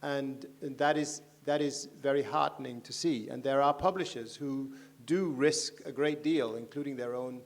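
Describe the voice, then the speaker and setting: A man speaking on a festival panel, thoughtful and halting, with many restarts. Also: mid-range voice, steady tone. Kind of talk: panel discussion. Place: large festival stage.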